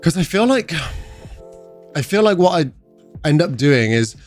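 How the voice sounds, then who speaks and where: A man's voice: fairly high pitch, big pitch swings, unhurried, soft background music. A young man from England with an English accent, hosting a gaming livestream and chatting casually with viewers as he reads their messages. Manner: chatty, reads chat aloud and answers viewers. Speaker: livestream host.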